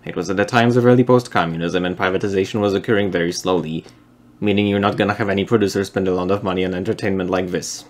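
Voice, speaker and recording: A man's voice: low voice, fairly even intonation, fast. Wry young man. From Poland. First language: Polish. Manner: dry, wry, explanatory. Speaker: video essayist. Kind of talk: scripted narration.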